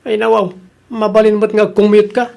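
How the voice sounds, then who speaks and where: A man's voice: sits high, little pitch movement, normal speed, vocal fry, a little mellow. A man acting in an Ilocano comedy radio drama as a nagging, reproachful relative who lectures on punctuality, with an Ilocano accent. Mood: casual.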